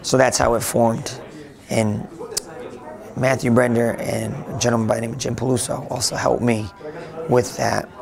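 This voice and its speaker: A man being interviewed casually at a convention, talking with a raspy, slightly hoarse voice and rambling with lots of restarts. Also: mid-range voice, level tone, moderate pace. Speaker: interviewee, tech blogger. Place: busy convention floor. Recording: noisy crowded convention hall. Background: crowd chatter.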